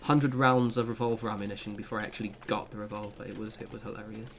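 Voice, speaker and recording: A man's voice: lowish voice, level tone, fast, noisy background. A young man giving a casual, rambling gameplay guide with self-deprecating asides. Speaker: gaming channel host.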